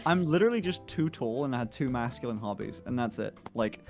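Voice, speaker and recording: A man's voice: mid-range voice, animated, brisk, gravelly. Man chatting with faint music behind. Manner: laughing often, swearing freely, rambling. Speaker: guest on a livestream call. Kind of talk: casual chat.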